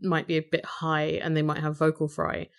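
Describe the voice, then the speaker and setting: A woman with an English accent and a low voice with some vocal fry, talking thoughtfully and wryly as a guest in a conversational interview. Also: completely flat delivery, a little fast. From Britain. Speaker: podcast host, interview guest.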